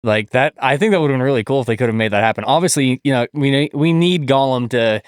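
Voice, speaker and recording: A man co-hosting a podcast in casual, sweary chat, halting and informal as he explains. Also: medium pitch, clear, resonant tone, dry recording, clean studio sound. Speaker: podcast co-host.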